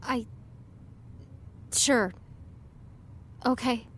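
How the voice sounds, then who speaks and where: An actress playing a flustered, evasive, wry high school senior in a naturalistic audio drama. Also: female voice, drawn-out words. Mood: uneasy. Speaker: actor in scripted fiction podcast.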